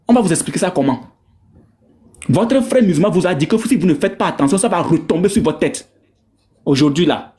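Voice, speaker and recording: Guy ranting about religion, kinda high voice, mocking and repetitive. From Togo. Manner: animated, mocking, repeats phrases for emphasis. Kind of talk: polemical religious commentary.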